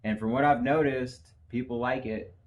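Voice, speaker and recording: A man co-hosting a casual podcast who talks in a profane, animated way and laughs often. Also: medium pitch, normal speed. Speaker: podcast co-host. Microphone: camera facing the hosts.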